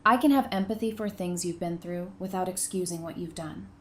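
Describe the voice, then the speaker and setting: A woman's voice: fairly low pitch, fairly quick, a little hiss or hum, close to an everyday voice. A woman playing a guarded but compassionate survivor in an audio drama, speaking in a dry, blunt way. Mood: probing, sympathetic.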